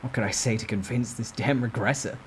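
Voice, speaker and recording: A man with a mid-range voice reads a story in the first person. His delivery is dramatic and expressive, in a storytime ASMR style. Clear noise runs behind his voice. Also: medium pitch, brisk. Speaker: narrator.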